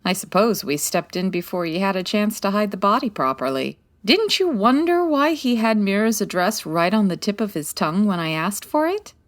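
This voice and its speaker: A woman's voice: mid-range voice, very animated, normal speed, few pauses, natural voice. A woman playing a poised, wry 1940s private detective in a comedy audio drama, articulate and dry-witted. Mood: composed, explaining.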